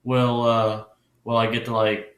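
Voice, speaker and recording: A man's voice: mid-range voice, completely flat delivery, measured pace, fairly bright tone, reverberant, close to an everyday voice. A man playing a curious young student who hesitates and asks many questions.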